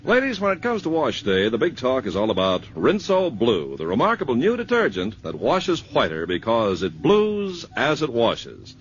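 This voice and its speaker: A man's voice: medium pitch, huge pitch swings, normal speed, few pauses, low-quality recording. A male announcer giving an upbeat vintage radio ad read. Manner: smooth, upbeat announcer patter. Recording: old radio broadcast with faint hiss.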